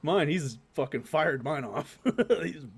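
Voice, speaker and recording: A man's voice: fairly high pitch, lively intonation, takes it slowly, husky. A man co-hosting a podcast in casual, joking chat, with some swearing and the odd vocal sound effect. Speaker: podcast co-host.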